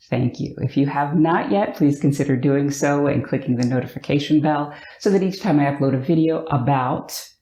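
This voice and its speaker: An upbeat, expressive American woman from Virginia, talking to the camera in a vlog and drawing out words for emphasis. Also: a bass voice, muffled recording. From Virginia, USA. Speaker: vlogger. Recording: echoey room.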